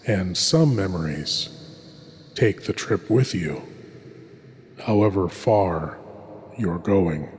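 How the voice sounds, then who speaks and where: A man performing as a solemn, theatrical horror host, introducing scary tales with portentous pauses in a cavernous reverberant sound. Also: deep voice, lively intonation, a little mellow. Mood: solemn, ominous. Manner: slow, portentous, deliberate pauses. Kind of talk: scripted horror anthology introduction. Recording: cavernous long reverb, faint noise.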